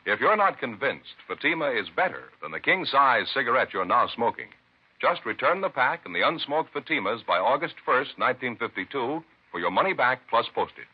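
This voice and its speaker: A man's voice: mid-range voice, very animated, a little fast, slightly rough. A man reading a 1950s radio advertisement as the announcer, formal, emphatic and salesman-like. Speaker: commercial announcer. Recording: muffled vintage radio broadcast.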